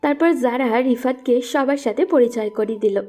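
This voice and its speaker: High-voiced woman narrating a story. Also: moderate pace, warm tone, faint music behind. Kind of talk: scripted audio story narration.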